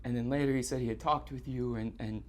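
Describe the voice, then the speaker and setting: An actor playing a distraught, guilt-ridden man on stage, confessing in halting, repetitive, stammered phrases in a live theatre monologue. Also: medium pitch, steady tone, darkish tone, a little hiss or hum, lightly acted. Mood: subdued, wistful. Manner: halting, stammering, repeating himself.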